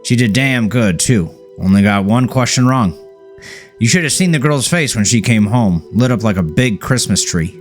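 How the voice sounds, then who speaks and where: A man's voice: medium pitch, animated, normal speed, soft background music, pitched above the performer's own voice, lightly acted. A man voicing a weary, caring, plain-spoken elderly father with folksy phrasing in an audiobook. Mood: fond pride.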